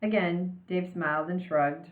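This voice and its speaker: A woman with a slightly low voice reads a children's novel aloud. Her delivery is expressive storytelling. The recording is roomy and somewhat muffled, with limited frequency range. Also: measured pace.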